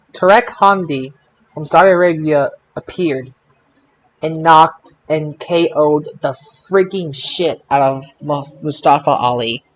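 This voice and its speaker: Male podcast host, slightly high voice, informal and halting sports recap delivery. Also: low-quality recording. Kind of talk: casual wrestling results chat.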